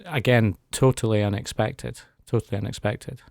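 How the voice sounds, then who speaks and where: A man's voice: on the low side, steady tone, moderate pace, gravelly, a little mellow, no room sound, studio quality. A man, telling his personal story as a guest in a radio interview. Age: 40s. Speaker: interview guest giving a testimony. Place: radio studio.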